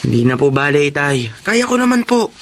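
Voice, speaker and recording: A man's voice: on the high side, big pitch swings, fairly quick, a little hiss or hum. A man acting a humble, patient young student janitor in a Filipino radio drama, speaking politely and earnestly with a Filipino accent. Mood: earnest, respectful.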